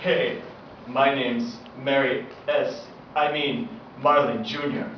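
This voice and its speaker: A man's voice: mid-range voice, fairly even intonation, takes it slowly, natural voice. A man voicing a sullen, hesitant young emo clownfish with a muffled mumble, in a comic fan fiction reading. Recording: distant echoey hall with noisy crowd.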